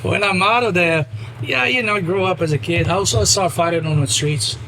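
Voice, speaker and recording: Moroccan-accented guest in his 30s, fairly high voice, plain emphatic English, noisy recording. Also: fairly quick. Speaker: guest, professional fighter and trainer. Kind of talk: casual interview chat. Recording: noisy background.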